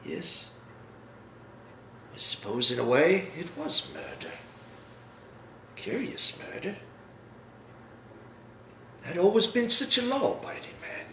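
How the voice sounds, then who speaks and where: A remorseful old general, acted by a man, broods through a dramatic stage monologue. His speech is slow and halting, swinging widely between murmur and outburst. The room is echoey, with faint noise. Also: on the high side, gravelly, fairly bright tone, full character voice. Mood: sad, resigned. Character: remorseful old British general, wistful, bitter, confessing.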